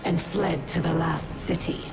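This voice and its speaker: An actress voicing a bitter, proud female alien captain delivering a grave, deliberate monologue in a video game. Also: lowish voice, fairly even intonation, loud music behind the voice, natural voice, rough recording. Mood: scornful. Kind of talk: cinematic game narration.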